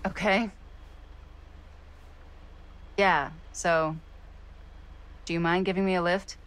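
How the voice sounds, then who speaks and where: Terse, guarded American woman, lowish voice. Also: takes it slowly, clean tone. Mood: matter-of-fact. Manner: terse, deadpan. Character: guarded, dry, worldly American woman traveller. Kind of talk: naturalistic video game acting.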